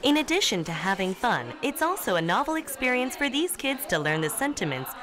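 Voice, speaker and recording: A woman reading a documentary narration in an even, formal manner. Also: a little high, fairly quick. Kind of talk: scripted narration. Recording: voice-over with music underneath.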